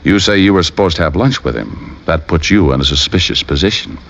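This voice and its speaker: Deep-voiced sardonic detective, lively inflection, quick quips and pointed questions, vintage radio crime show. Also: male voice, gravelly, full character voice. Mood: probing, suspicious. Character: wisecracking, probing male private detective, dryly sardonic. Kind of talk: 1940s-50s radio detective acting. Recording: muffled vintage radio recording.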